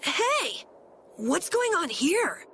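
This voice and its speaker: A woman voicing an earnest, curious and excitable young boy adventurer in an anime-style game. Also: very high voice, drawn-out words, a little hiss or hum, full character voice. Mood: alarmed.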